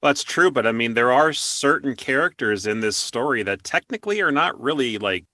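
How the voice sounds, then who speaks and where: Bright-voiced man hosting a casual interview. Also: mid-range voice, expressive, normal speed, dry recording, clean studio sound. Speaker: interview host.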